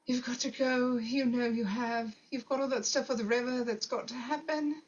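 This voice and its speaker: A woman's voice: fairly high pitch, steady tone, normal speed, natural voice. A woman playing a terrified, tearful yet determined young woman in a script table read, on a slightly muffled microphone. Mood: fearful, determined.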